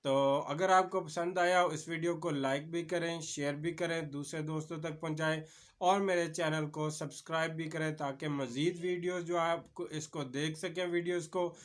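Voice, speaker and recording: A man's voice: on the high side, steady tone, normal speed. A man with a Pakistani accent giving an informal explanatory lecture as a lawyer, addressing the viewer directly and mixing in English legal terms.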